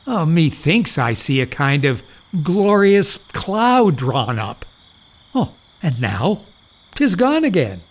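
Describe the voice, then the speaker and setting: A man's voice: fairly high pitch, sing-song, heavily acted. Man playing a gullible old doctor, swooping and awestruck. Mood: rapturous awe. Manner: rapturous, drawn-out exclamations, deferential. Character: gullible old male doctor, pompous, rapturous, easily awed. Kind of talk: theatrical farce acting in period English.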